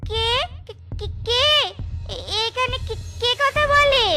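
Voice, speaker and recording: A woman's voice: very high voice, fairly even intonation, takes it slowly, sharp tone, soft background music, heavily acted. A woman voicing a devoted, hardworking poor beggar wife and mother in a children's cartoon, with a childlike voice. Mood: startled.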